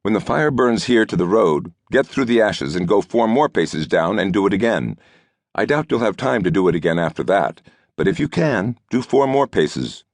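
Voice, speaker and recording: A man voicing a young lord who commands a fire brigade in an audiobook, formal, brisk and decisive. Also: on the low side, very animated, no echo, voice pushed up. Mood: urgent, commanding. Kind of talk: audiobook character dialogue.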